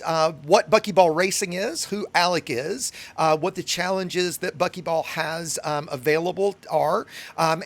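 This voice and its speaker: A man talks into his mic at a relaxed, rambling pace, filling his sentences with ums. His voice sits a little on the high side and has a hoarse, gravelly rasp, worn out from days of talking. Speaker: host. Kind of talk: casual livestream chat.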